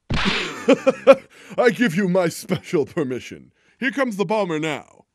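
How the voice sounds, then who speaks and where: A man's voice: high-pitched, sing-song, slow, no echo, clean studio sound, heavily acted. Man playing an exuberant, swooping police detective. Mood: hearty. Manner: theatrical, hearty. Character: exuberant, self-important male police detective. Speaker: fan dub voice actor. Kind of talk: animated video game fan dub.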